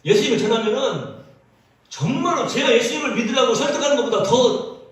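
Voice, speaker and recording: A male pastor preaching a sermon in an animated, storytelling way, with many acted quotes. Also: very high-pitched, fairly quick. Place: church sanctuary. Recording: echoey church sound from a distance.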